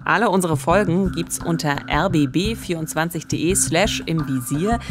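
Female true-crime podcast host, forties, low pitch, studio mic. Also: moderate pace, over music. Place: small radio studio. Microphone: close studio microphone with pop filter.

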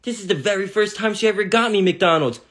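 A performer voicing a glum, overlooked older brother with a resigned deadpan manner in a comedy cartoon. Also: mid-range voice, fast talker, natural voice. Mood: smug, pleased.

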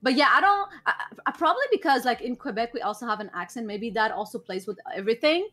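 A young woman from Lebanon speaks English with a Lebanese French accent, her voice sitting a little high. She talks in an excitable casual way, tripping over restarts and repeated words as she chats from a home streaming setup. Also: quick pace, faint music behind. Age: young adult. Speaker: co-host reacting to an anime episode. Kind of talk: casual chat. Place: small bedroom streaming setup, indoors.

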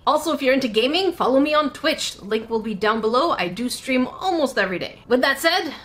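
A woman in her thirties whose first language is French, reviewing a craft kit in a chatty vlog from a home studio, with excited squeals and muttered asides. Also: high-pitched, brisk. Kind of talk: casual unboxing vlog.

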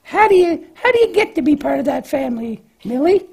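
A woman's voice: high-pitched, big pitch swings, fairly quick, gravelly, darkish tone, heavily acted. A woman voicing a cheerful, naive young lamb in a children's church puppet show, hesitant and questioning in a childlike way. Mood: curious.

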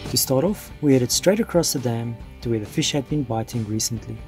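A South African man narrating his fishing vlog in a calm, scripted voice-over. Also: mid-range voice, brisk, music in the background. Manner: calm storytelling.

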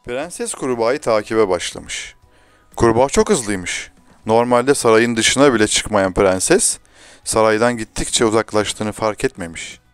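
Man, children's storyteller, scripted fairy tale narration, lowish pitch, lively swings in intonation. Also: a little slow, clear, resonant tone, no room sound, soft background music.

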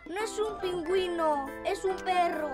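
A woman's voice: very high voice, a little slow, over loud music. A woman voicing a worried little boy bunny. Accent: Latin American Spanish. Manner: worried, self-deprecating. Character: unsure, self-doubting little boy rabbit friend.

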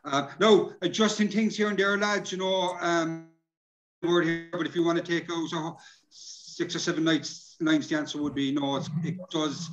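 A man with an Irish accent explaining things casually and haltingly as a workshop speaker on a video call. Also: fairly high pitch, fairly even intonation, lo-fi sound. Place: indoor room, video call.

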